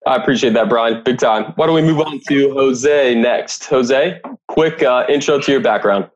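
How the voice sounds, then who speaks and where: A man's voice: mid-range voice, moderate pace, highs cut off. A male podcast host with a mid-range voice. He speaks as an interviewer, moving between prepared introductions and conversational questions.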